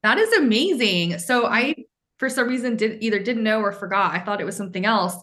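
A woman hosts a podcast in easy conversation, openly effusive toward her guest. Her voice sits at a middle pitch for a woman. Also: expressive, fairly quick, fairly bright tone. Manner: gushing, effusive praise. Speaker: podcast host. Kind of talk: casual interview conversation.

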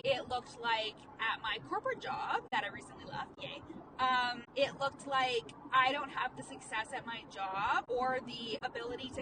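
A young woman hosting a casual monologue while driving, candid and quick, full of "like" and the odd curse. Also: high-pitched. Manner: candid, fast, peppered with "like" and profanity. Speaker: podcast host and coach. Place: inside a car. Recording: distant mic with road noise. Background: car and street noise.

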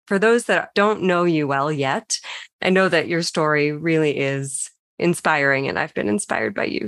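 Podcast host, woman, effusive and affirming, low-pitched, dry studio sound. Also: a bit bright, dry recording. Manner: effusive, affirming, many fillers. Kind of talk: warm interview introduction.

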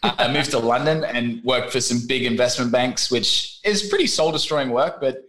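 Australian man, thirties, guest on a casual podcast, lively storyteller who slips into quick impressions. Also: mid-range voice. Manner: animated storyteller, acts out voices in anecdotes.

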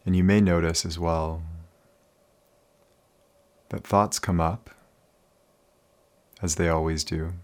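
Very deep-voiced man guiding meditation. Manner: calm, soothing, unhurried with many pauses. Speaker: meditation guide, coach. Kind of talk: guided meditation narration.

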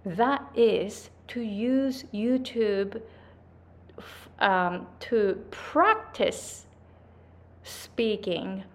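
Slow-talking woman with a Japanese accent. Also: medium pitch, animated. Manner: chatty, repeats "right?" and "like", self-corrections. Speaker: English pronunciation coach. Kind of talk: business advice vlog to camera. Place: speaking to a camera.

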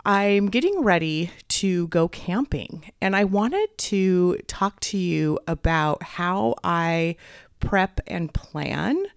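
A woman hosting a podcast as a weight coach, talking casually while fighting a head cold, a little raspy and clearing her throat. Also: lowish voice, expressive, slow, no echo, studio quality. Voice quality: slightly raspy. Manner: upbeat, encouraging, faith-based. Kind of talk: casual solo podcast talk.

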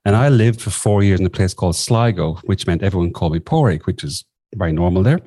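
Irish male podcast guest, fifties, low and mellow voice, wry conversational storyteller. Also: expressive, fast talker, no room sound, clean studio sound. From southwest of Ireland. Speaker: podcast guest, former nurse and coach.

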